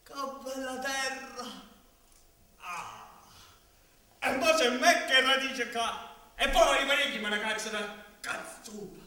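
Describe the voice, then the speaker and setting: A man's voice: extremely high pitch, expressive, slow, pauses often, bright, forceful tone, full character voice. A man playing an earthy, dreamy commedia dell'arte servant who sighs and rambles, with a southern Italian accent. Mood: weary, musing. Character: weary, earthy, dreamy commedia servant grumbling about fortune. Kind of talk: commedia dell'arte stage acting. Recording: very echoey room, distant microphone.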